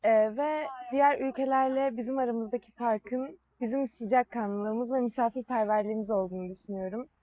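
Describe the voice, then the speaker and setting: Higher-voiced young woman from Turkey. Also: fairly quick, hardly any pauses, mellow. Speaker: exchange participant. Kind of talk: casual interview answer.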